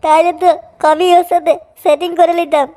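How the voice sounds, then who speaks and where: Guy doing a squeaky cartoon drunk, bragging and cussing, pitched way up. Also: little pitch movement, brisk, darkish tone. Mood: boastful. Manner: coarse, bragging, swearing. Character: boastful, lecherous, drunken male cartoon friend. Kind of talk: amateur comedy cartoon dub.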